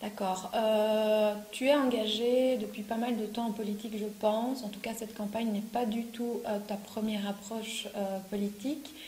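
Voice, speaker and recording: A woman interviewer puts informal questions to a candidate, using filler words along the way. Her voice is mellow and mid-pitched. The room is echoey, with a faint hiss underneath. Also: level tone, normal speed, hardly any pauses. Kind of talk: campaign interview.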